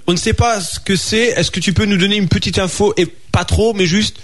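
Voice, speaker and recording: A man hosting a live radio show, chatting with guests in a lively way with many fillers. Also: high-pitched, fairly quick. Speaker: radio host.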